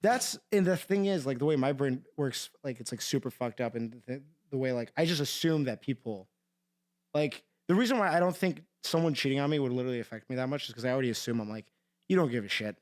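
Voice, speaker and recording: A young man on a casual podcast who sounds tired. He rambles, swears a lot and leans on filler words, and his pitch sits in an ordinary middle range. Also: fast talker. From Iran. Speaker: podcast co-host. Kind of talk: casual chat.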